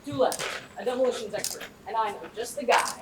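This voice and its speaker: A young woman acting a brisk, sly heist recruiter in a student stage comedy. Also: fairly high pitch, natural voice. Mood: confident. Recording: roomy stage from far off, faint noise.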